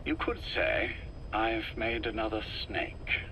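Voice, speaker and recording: A man acting as a shadowy intelligence spymaster in a video game drama, speaking politely and with measured, quiet menace. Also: on the low side, very animated, exaggerated voice, low-quality recording. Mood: quietly persuasive. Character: shadowy male intelligence spymaster, cool, courteous, calculating. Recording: faint background hiss and noise.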